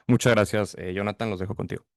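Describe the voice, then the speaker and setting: A man's voice: lowish voice, fairly even intonation, quick pace, no echo, studio quality. A man moderating an event, giving a semi-formal spoken introduction of a guest speaker.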